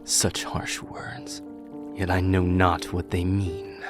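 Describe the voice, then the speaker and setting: A man's voice: sits low, monotone, takes it slowly, over music. A man playing a solemn, self-sacrificing warrior king in a fantasy audio drama, grave and formal in manner. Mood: defiant.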